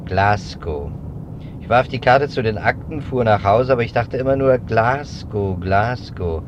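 A muffled old radio-play recording with clear noise and vehicle rumble carries an actor as a male investigator. He is methodical and persistent, laying out his suspicions deliberately in a mid-pitched voice. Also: expressive, close to an everyday voice. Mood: intent, brooding. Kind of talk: 1950s German radio drama acting. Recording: dull, noisy 1950s radio recording.

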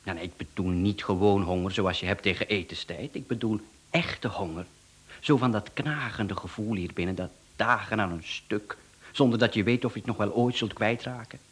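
A mysterious male caller in a radio drama, with a Flemish accent and a husky voice. He is down on his luck, courteous yet evasive, and keeps insisting on being heard. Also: lowish voice, moderate pace. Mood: pleading, evasive. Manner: polite but evasive, insistent. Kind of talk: radio play acting.

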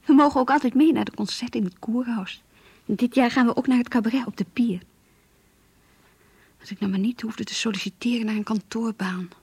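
A naive, lovestruck schoolgirl confides in a radio play. Her voice is fairly high, breathy and soft-edged. She speaks earnestly, close and dry. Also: brisk, dry recording. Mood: wistful, confiding. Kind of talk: radio drama, diary-like monologue and dialogue.

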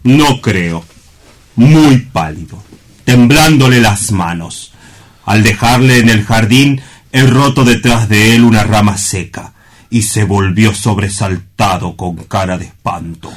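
Low-voiced, gloomy sanatorium assistant. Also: male voice, slow. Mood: dry, reporting. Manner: deferential, dry, sombre. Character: gloomy, dutiful male sanatorium assistant reporting to his doctor. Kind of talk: theatrical radio drama acting.

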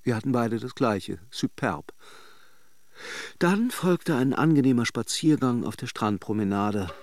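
An actor playing a worldly, ironic middle-aged man who recalls an affair in a radio drama, with deliberate hesitations. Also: mid-range voice, lively intonation, natural voice. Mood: reflective. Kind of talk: radio drama monologue, narrated as a confession.

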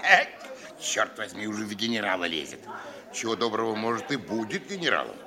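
An actor playing an envious, two-faced provincial guest in a theatrical radio play, amid a murmuring gathering. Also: male voice, lowish voice, sing-song, fairly quick, a crowd in the background. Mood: spiteful aside.

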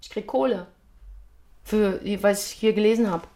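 A woman's voice: medium pitch, normal speed, dark tone, natural voice. A woman acting a brash, confused and stubborn hired reader in a radio drama, speaking blunt, stammering street slang. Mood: insistent, baffled.

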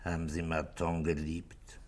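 An actor playing an authoritative, patient police chief inspector in a 1960s German radio play, with a terse, probing, commanding way of talking. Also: male voice, a bass voice, completely flat delivery, measured pace, darkish tone. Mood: pressing, skeptical.

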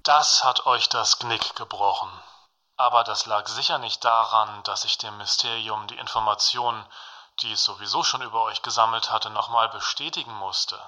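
A man's voice: fairly low pitch, fairly even intonation, normal speed, pushed and bright. Smug, self-justifying man, late thirties. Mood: defensive, smug. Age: late 30s. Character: self-righteous male singer-songwriter turned state informer, late thirties. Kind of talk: satirical radio play monologue and dialogue.

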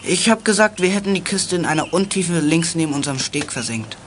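A man's voice: a little high, brisk, fairly bright tone, a little hiss or hum, lightly acted. A male actor playing a sheepish, hesitant boy in a German children's radio play. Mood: defensive.

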